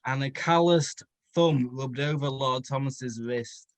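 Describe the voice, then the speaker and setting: A man's voice: medium pitch, takes it slowly, no room sound. A man narrating a story aloud for comedy in a playfully dramatic way. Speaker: comedian reading fan fiction aloud.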